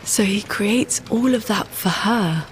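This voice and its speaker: An actress playing a pensive, melancholy adventurer in a video game, speaking in a measured, reflective way. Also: female voice, medium pitch, slight background noise, lightly acted. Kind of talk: dramatic game dialogue.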